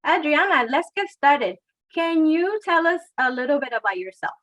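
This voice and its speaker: Higher-voiced woman hosting a webinar. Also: sing-song, moderate pace, a bit bright, rough recording. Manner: friendly, scripted introductions and questions. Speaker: webinar host.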